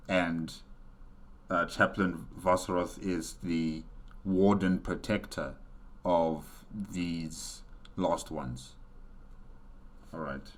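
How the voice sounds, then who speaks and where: Low-voiced man reacting casually, unhurried. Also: fairly bright tone. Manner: musing, thinking aloud. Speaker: reaction video host. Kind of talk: casual solo reaction commentary.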